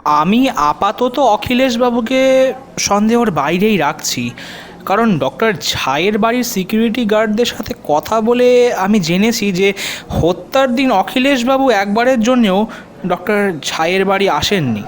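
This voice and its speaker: An actor playing a confident, helpful police officer in an audio detective drama, explaining in a measured way with English terms mixed in. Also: male voice, sits high, lively intonation. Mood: serious, explanatory. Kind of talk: audio drama acting in Bengali detective story.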